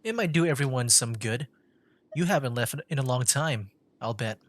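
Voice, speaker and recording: A woman's voice: extremely low pitch, lively intonation, normal speed. A woman streamer giving casual gameplay commentary and reading dialogue aloud. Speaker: streamer playing a game.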